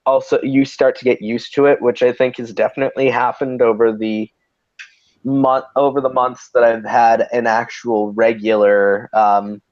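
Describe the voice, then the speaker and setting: A man's voice: medium pitch, flat delivery, moderate pace, darkish tone, no echo, muffled recording. A man hosting a casual livestream chat, rambling with many restarts and fillers, in an androgynous voice. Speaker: host.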